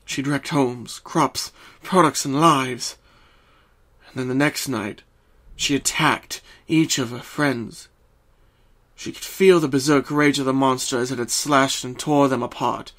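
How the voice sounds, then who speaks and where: A man reading a scripted story as narrator, in a solemn, deliberate and dramatic way. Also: medium pitch, fairly bright tone.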